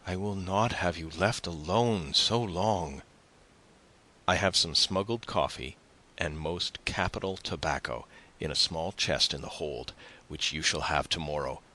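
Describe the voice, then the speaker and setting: A man's voice: deep voice, measured pace, pushed and bright, exaggerated voice. A man voicing an eager, generous young sailor in an audiobook, speaking earnestly and with animation. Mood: caring, insistent.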